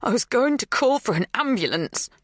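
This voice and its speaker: A woman acting a tearful, frightened woman, high-pitched. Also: sing-song, moderate pace, dry recording, studio quality. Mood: tearful pleading. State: strained, tearful.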